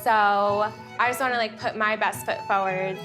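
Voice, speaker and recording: A young woman talks as a reality show contestant with music clearly behind her. Her voice is average in pitch, and she sounds gushing and sure of herself. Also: steady tone, unhurried. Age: young adult. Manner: self-assured, gushing.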